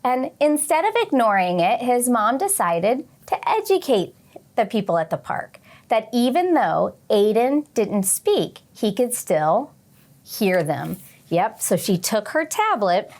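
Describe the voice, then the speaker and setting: A woman teacher reading aloud and talking to young children, expressively, with questions and pauses for their answers. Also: medium pitch, very animated, darkish tone. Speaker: teacher hosting a children's read-along. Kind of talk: read-aloud and lesson addressed to young children.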